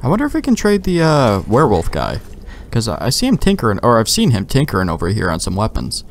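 Low gravelly man, lively game commentary. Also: fast talker, slight background noise. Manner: informal, swearing, thinking aloud. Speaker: let's play host. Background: video game ambience.

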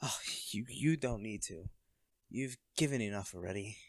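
A man's voice: lowish voice, moderate pace, no room sound. A man playing a cocky college volleyball captain. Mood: teasing. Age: young adult. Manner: laid-back, laughs often, swears casually. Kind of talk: audio roleplay to a listener.

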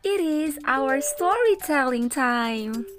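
A woman teacher reading a storybook aloud for young children in a deliberate, sing-song way, addressing them directly. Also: high voice, expressive. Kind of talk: slow, animated read-aloud for young children. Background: music.

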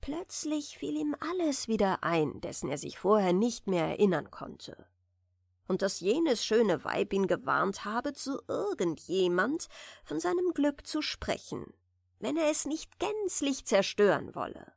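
A woman narrating a fairy tale for bedtime, reading gently and soothingly, at times almost whispering. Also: mid-range voice, big pitch swings, measured pace, creaky, slightly rough, no room sound, studio quality. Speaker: audiobook narrator.

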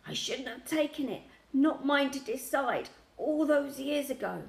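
A woman playing a gravely sick old grandfather in an audiobook, croaky and breathless, speaking in urgent, broken phrases. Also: sits high, lively intonation, measured pace, echoey room, pitched above the performer's own voice, full character voice. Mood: desperate, rambling.

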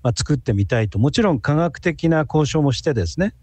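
A man's voice: on the high side, expressive, brisk, darkish tone, breathy edge, no room sound. A man speaking as a guest researcher, giving conversational answers in an interview.

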